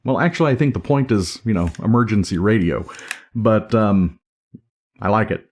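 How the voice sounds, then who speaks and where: A man's voice: lowish voice, normal speed, a little mellow. A man giving a casual, informal hands-on product review, talking off the cuff. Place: indoors at home. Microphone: camera or recorder microphone.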